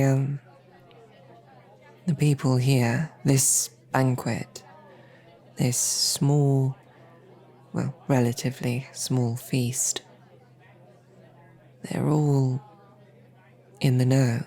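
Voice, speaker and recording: A woman playing a bashful, smitten knight and royal guard in an intimate audio roleplay, speaking haltingly with flustered, self-deprecating rambling. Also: very deep voice, mellow, full character voice. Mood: nervous, tender.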